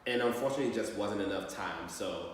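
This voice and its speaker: Low-voiced man in a very echoey room. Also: quick pace. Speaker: school staff member calling a parent. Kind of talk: training role-play of a parent phone call. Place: large echoey room, far from mic. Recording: very echoey hall, distant mic, faint noise.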